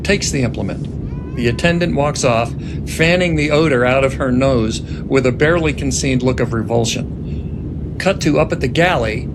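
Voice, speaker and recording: Casting: male narrator for screenplay stage directions, mid-pitched with vocal fry, clear background rumble on the recording. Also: normal speed. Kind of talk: dramatic scripted screenplay narration.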